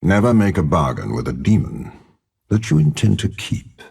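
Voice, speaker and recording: An actor playing a ruthless, imperious older warlord bound to a demon in a video game, with a rasping voice and a menacing, haughty delivery. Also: male voice, huge pitch swings, darkish tone, full character voice. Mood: calm disdain. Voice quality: very deep, gravelly, rasping. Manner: slow, measured, menacing, haughty. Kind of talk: dramatic video game character voice lines.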